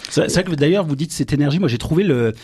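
A man's voice: on the high side, fast, husky. A man hosting a film review show, chatting casually, introducing films and asking his guests questions. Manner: lively, guides the talk, asks guests questions. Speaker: radio film show host.

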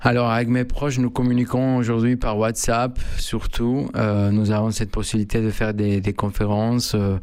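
Man, flat gravelly voice, halting answers, dry sound. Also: fairly low pitch, no room sound. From Mexico. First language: Spanish. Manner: halting, many fillers and restarts. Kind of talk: hesitant interview answers.

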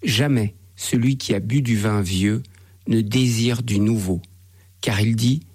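Priest guy reading the gospel, husky voice, solemn and measured. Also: on the low side, darkish tone.

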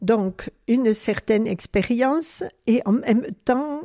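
A woman interviewer asking questions in a halting way, searching for her words. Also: fairly high pitch, warm tone.

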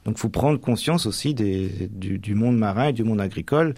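Middle-aged guy from normandy, bit low voiced, thinks out loud in an interview, stop-and-start, no room sound. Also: creaky, dry recording. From Manche, Normandy, France. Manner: hesitant, halting, frequent tags at phrase ends. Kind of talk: reflective interview answers.

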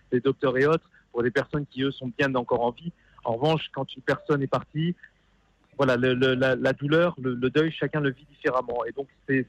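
A man calling a radio show by phone, giving earnest personal testimony and haltingly repeating words as he searches. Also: medium pitch, dry recording. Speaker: phone-in caller, funeral worker. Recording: dull band-limited phone call.

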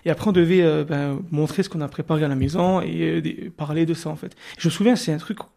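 A man in his thirties from Moselle, a radio guest telling his life story with many pauses and restarts. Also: on the high side, fairly even intonation, creaky, mellow. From Moselle, France. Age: 30s. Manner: hesitant, many fillers and restarts.

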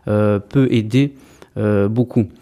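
A man's voice: lowish voice, fairly even intonation, takes it slowly, warm tone. A man in his thirties, an Orthodox priest from Greece raised in Belgium, answering interview questions hesitantly with frequent fillers and restarts. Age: 30s.

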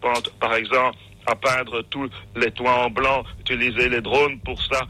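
A man from Belgium, calling in to a radio show, rambling with many halting restarts. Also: mid-range voice, little pitch movement, bright, forceful tone. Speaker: caller. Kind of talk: phone-in call. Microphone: phone line. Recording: phone-in call on radio.

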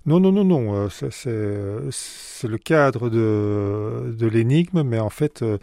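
Hesitant Belgian-born author, mellow voice. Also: male voice, medium pitch, lively intonation, slow, gravelly, dry recording. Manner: hesitant, many fillers and restarts. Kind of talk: radio interview answers.

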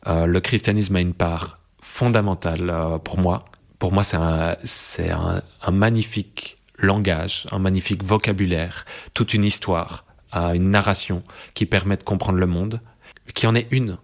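A young man in a reflective conversation, speaking thoughtfully and searching for words, with frequent pauses and restarts. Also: low-pitched, steady tone, creaky. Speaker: interviewee.

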